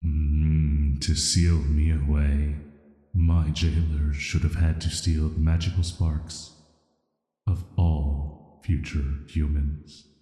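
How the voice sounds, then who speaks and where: A man playing an ancient cursed villain in a sleep-aid audio roleplay, speaking in a soft, flat, soothing and intimate way, flirty yet dangerous. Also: a bass voice, takes it slowly. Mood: calm, admiring. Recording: echoey, long reverb.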